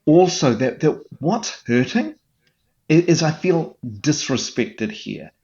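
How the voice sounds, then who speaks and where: A man's voice: a little high, big pitch swings, slow, darkish tone, muffled recording. A man speaking as a mental health guest in an interview, explaining with an earnest coaching manner and frequent restarts.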